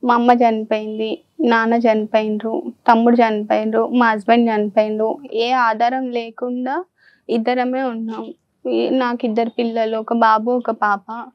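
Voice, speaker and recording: High-voiced Telangana Telugu woman. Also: flat delivery, normal speed, dry recording. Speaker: guest, family caregiver. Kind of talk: personal account of hardship. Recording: dry, slightly dull sound.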